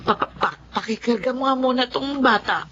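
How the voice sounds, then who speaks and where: A woman's voice: a little high, brisk, a bit bright, close to an everyday voice. A woman playing a tender, coaxing elderly grandmother in a Filipino radio drama, with a Filipino accent. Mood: faint, dizzy. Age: older. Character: doting elderly grandmother soothing a crying grandchild. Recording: dull lo-fi audio with clear noise.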